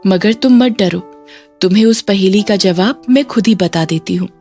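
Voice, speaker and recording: A woman voicing a kindly but stern magical fairy in a children's fairy tale, measured and instructive. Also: medium pitch, a little mellow, soft background music, lightly acted. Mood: explaining, reassuring. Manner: measured, instructive, recites riddles.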